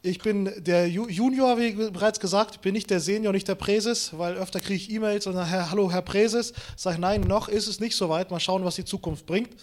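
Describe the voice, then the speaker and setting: A man in his late twenties, born in Kazakhstan, preaches while moving about. His voice sits high for a man. He mixes Bible reading with playful, self-mocking anecdotes. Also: normal speed, few pauses. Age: 20s. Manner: lively storytelling with self-mocking humor, walks around while preaching. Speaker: pastor. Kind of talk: sermon.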